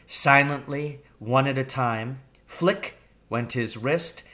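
A middle-aged man reading a children's novel aloud in a measured, suspenseful storytelling manner. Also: mid-range voice, a bit bright. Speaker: storybook reader. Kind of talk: children's novel read aloud, first-person narration. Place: room at home with wooden ceiling.

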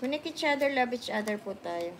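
A woman's voice: fairly high pitch, animated, fairly quick, fairly bright tone, a little hiss or hum. A woman in her sixties chatting casually on a livestream from her phone, thanking viewers and answering comments while sounding sleepy. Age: 60s. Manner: chatty, mixes languages, repeats thanks to viewers. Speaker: livestream host. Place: indoor room with sofa. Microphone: phone camera at arm's length.

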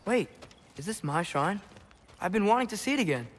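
A man's voice: sits high, expressive, brisk. An actor playing a curious, slightly awkward teenage boy adventurer in a video game, polite and hesitant. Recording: loud game music behind.

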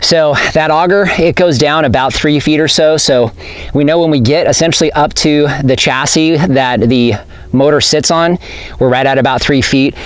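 A man's voice: on the high side, normal speed, smooth, no room sound. Practical man explaining outdoors, dry sound. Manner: plain, practical, talks with his hands. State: a little out of breath. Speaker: homesteading vlogger. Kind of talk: casual how-to explanation. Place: outdoors on open desert farmland.

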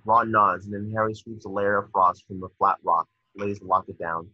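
A man's voice: on the low side, steady tone, normal speed, pushed and bright, slightly rough, no room sound. A young man reading screenplay stage directions as narrator over a video call. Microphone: computer mic on video call. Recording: dull, dry video-call audio.

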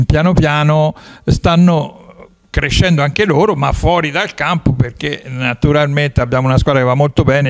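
A man in his fifties hosting a football talk show in a studio, talking with animated gestures into a handheld mic. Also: on the high side. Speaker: sports show host. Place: studio with dark curtain.

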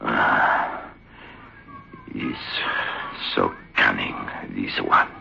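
An actor playing a haunted, despairing stage ventriloquist in a radio horror drama, halting and weary. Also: male voice, rumbling low, steady tone, gravelly, full character voice. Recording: muffled old radio recording, faint noise.

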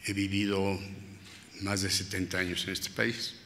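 A man's voice: low voice, little pitch movement, pushed and bright, a little hiss or hum. Elderly man speaking in a reverberant hall. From Spain. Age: 80s. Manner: halting, reflective, with pauses and self-corrections. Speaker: restorer and panelist. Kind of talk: panel talk, personal reminiscence. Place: large museum hall with audience. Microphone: table microphone at panel. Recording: hall reverb from public-address room.

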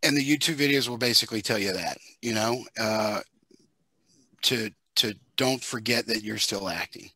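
A middle-aged man talking casually on an online panel through a headset, halting as he thinks aloud. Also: lowish voice, pushed and bright, husky, no echo. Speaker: panelist, audio drama maker. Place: dim room at home, video call. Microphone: headset on a video call.

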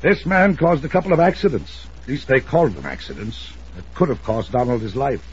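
Actor as domineering rich uncle, animated, muffled radio. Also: male voice, mid-range voice, close to an everyday voice. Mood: suspicious, grave. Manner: commanding, emphatic, deliberate. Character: wealthy, domineering older uncle, insistent and suspicious. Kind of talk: 1950s radio drama acting. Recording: muffled, noisy old radio recording.

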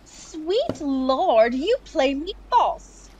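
A woman's voice: high-pitched, big pitch swings, drawn-out words, background noise, exaggerated voice. A woman playing an innocent, wonderstruck young noblewoman in love, sweet and earnest, in a Shakespearean radio play. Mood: playfully loving. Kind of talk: Shakespearean audio drama acting.

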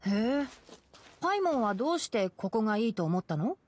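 A young male forest ranger in an anime game, with a fairly high, youthful voice. He is gentle and studious, explaining things calmly and matter-of-factly with dry wit. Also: fairly quick, heavy background noise. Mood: calm, instructive. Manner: calm, instructive, softly matter-of-fact. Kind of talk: anime video game voice acting.

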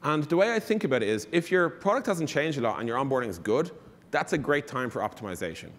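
A man's voice: medium pitch, big pitch swings, fast. A man in his thirties giving an informal, emphatic conference presentation from a stage. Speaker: conference speaker. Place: large conference stage, indoors. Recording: echoey hall reverb.

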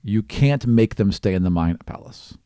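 Game master, male, thirties, lively pitch movement, casual replies, dry close-mic sound. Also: normal speed, clean tone, no room sound. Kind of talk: casual tabletop game narration. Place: small indoor studio room. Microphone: tabletop microphone, close.

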